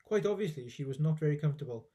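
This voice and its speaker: A man reading a children's story aloud in an expressive storybook narration style. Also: fairly high pitch, very fast.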